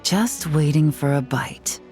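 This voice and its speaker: An actress playing a calm, patient woman on a stealthy stakeout in a video game. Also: low voice, slow, faint music behind. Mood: calm, watchful.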